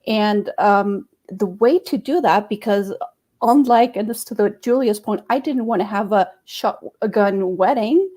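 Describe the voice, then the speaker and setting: A woman from Costa Rica with a mid-range voice tells her personal story as a guest on a live web panel. She speaks briskly and casually, now and then repeating a word. She talks over a video call. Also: mid-range voice, mellow.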